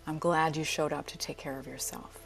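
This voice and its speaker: A woman teaching yoga nidra, leading a guided relaxation in a soothing, unhurried, gently inviting way. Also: low voice, steady tone, creaky. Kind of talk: guided meditation.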